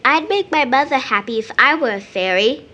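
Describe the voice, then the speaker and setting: A woman's voice: sits high, lively intonation, normal speed, sharp tone, exaggerated voice. A female performer playing a wistful, devoted little girl in an audio drama, speaking with childlike earnestness. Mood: wistful, earnest. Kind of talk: stage play audio drama acting.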